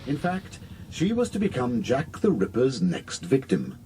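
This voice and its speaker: An actor playing a documentary narrator in a radio drama, telling grisly crime history in dramatic scripted style. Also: male voice, mid-range voice, huge pitch swings, measured pace, dark tone, a little hiss or hum. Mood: grave, dramatic.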